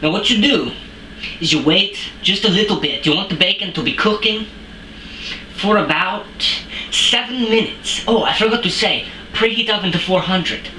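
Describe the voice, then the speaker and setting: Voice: mid-range voice, moderate pace, pushed and bright, echoey room, a little hiss or hum, natural voice, low-quality recording. A comedian playing a clumsy, boastful fake French chef on a comic cooking show, using a put-on French accent that slips into Australian or British, with broken English and crude swearing. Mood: cocky. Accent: put-on French, drifting to Australian or British. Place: home kitchen.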